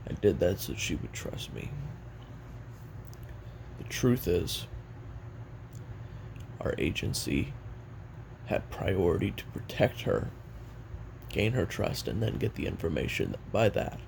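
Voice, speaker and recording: A man performs a brooding hitman confessing on tape in an audio drama. His voice is extremely low and heavily fried, halting and weary with regret, yet it moves up and down a good deal as he recounts his past. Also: very animated, darkish tone, slight background noise, exaggerated voice, poor audio. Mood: bitter, ashamed. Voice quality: gravelly, creaky. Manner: halting, brooding, casually profane. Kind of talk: audio roleplay monologue, taped confession.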